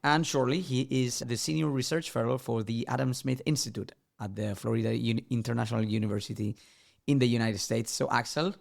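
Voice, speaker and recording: A man's voice: medium pitch, fairly even intonation, brisk, creaky. A man hosting a podcast, introducing and questioning in a conversational way. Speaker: podcast host.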